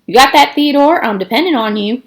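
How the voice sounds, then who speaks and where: A woman's voice: high-pitched, animated, fairly quick, voice pushed up. A woman voicing a preachy, upbeat male youth-camp counselor in an earnest, patronizing, emphatic way for a storybook. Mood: earnest, encouraging.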